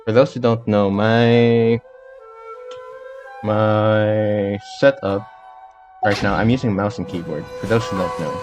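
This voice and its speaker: A man's voice: on the low side, steady tone, slow, pauses often, darkish tone. A man livestreaming a video game in casual, scattered chat, repeating himself and swearing. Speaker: game streamer. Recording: muddy, noisy amateur stream audio. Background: game music.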